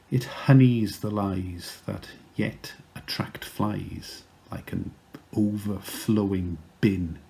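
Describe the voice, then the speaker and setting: Male, Welsh accent, low gravelly voice, theatrical poetry recital, deliberate delivery. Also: darkish tone. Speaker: poet.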